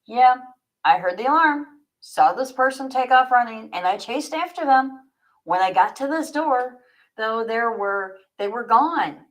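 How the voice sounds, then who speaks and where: A woman's voice: on the high side, lively intonation, unhurried, pitched above the performer's own voice, poor audio. An earnest security guard from a mystery novel, read by a woman. He sounds rattled and emphatic, with a questioning edge to his storybook lines. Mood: baffled, agitated.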